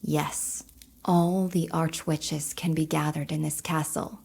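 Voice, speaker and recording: A woman's voice: sits low, little pitch movement, takes it slowly, creaky, a little mellow, slightly rough, heavily acted. A woman playing a determined young rebel witch in a video game cutscene, speaking earnestly and formally. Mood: resolute.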